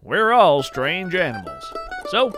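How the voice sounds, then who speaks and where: A man's voice: on the high side, huge pitch swings, slow. A man hosting and narrating a scripted storytelling podcast. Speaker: host and narrator. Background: plucked mandolin music.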